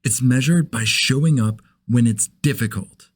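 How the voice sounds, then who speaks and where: An earnest motivational narrator performed by a man, measured and unhurried. The voice has a medium pitch and a slightly husky edge, with a solemn, uplifting delivery. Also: no room sound, clean studio sound, lightly acted. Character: inspirational, solemn commercial and trailer narrator.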